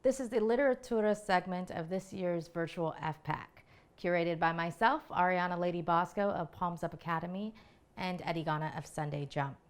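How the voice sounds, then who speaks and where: A woman hosting a literature segment, introducing it and reading from her own novella in an expressive way. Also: fairly low pitch, moderate pace. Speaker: segment curator and host.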